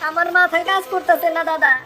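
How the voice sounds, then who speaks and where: A woman's voice: very high voice, steady tone, fast talker, music in the background. A woman playing a frustrated younger brother, a poor fisherman in a cartoon story, with a plaintive, complaining manner and rural Bengali dialect. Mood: dejected.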